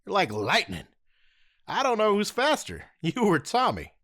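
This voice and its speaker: A man plays a young martial arts teacher with a lively, bouncing voice, easygoing and teasing, full of slang. It sounds recorded close up in a dry, dead space, like an audio drama. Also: high-pitched, measured pace, dry recording, full character voice. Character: young male martial arts instructor, laid-back hero.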